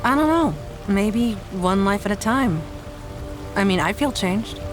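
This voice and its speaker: A woman's voice: medium pitch, lively intonation, unhurried, over music, natural voice. A woman playing a thoughtful, teasing, affectionate adventurer in an audio drama, speaking in a hesitant, reflective, conversational way. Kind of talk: fan audio drama acting.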